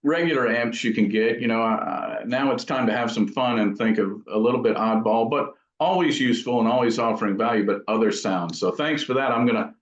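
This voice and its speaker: A man's voice: mid-range voice, fairly quick, few pauses. A man giving casual interview answers as a guest craftsman, thinking aloud and correcting himself as he goes. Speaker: guitar amp builder being interviewed. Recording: roomy, dull lo-fi sound.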